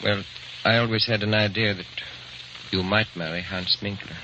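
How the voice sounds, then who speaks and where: A man acting a composed, knowing bearer of grim news in an old radio drama, speaking deliberately. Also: on the low side, fairly even intonation, lightly acted. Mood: cordial. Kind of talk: 1930s-40s radio acting. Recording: muffled old radio recording, heavy hiss.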